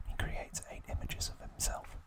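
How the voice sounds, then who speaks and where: A man narrating ASMR trivia in a soft, breathy whisper, phrasing everything deliberately. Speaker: ASMR host.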